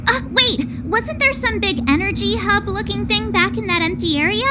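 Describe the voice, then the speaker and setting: Very high, perky young girl adventurer. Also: moderate pace, background noise. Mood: playful, puzzled. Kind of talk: video game voice acting.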